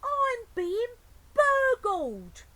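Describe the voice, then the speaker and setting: A woman's voice: very high voice, huge pitch swings, extremely slow, pushed and bright, pitched above the performer's own voice, exaggerated voice. Storybook burglar chap, played by a woman: hearty, good-natured, swooping emphatic delivery, faint background noise. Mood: startled. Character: Burglar Bill, gruff good-natured adult male burglar. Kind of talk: picture-book read-aloud character voice.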